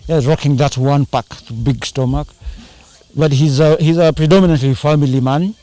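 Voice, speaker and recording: A man's voice: on the high side, steady tone, normal speed, warm tone, no echo. A man chatting casually in an interview, rambling and slipping into Swahili slang. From Kenya. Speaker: band singer and drummer.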